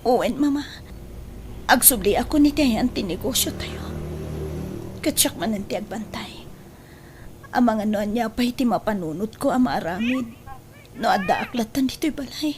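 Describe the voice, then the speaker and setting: A woman's voice: on the high side, expressive, moderate pace, pauses often, a little hiss or hum, close to an everyday voice. A woman acting an anxious, pleading daughter in an audio drama, with a Filipino accent. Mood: worried. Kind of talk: Ilocano radio drama acting.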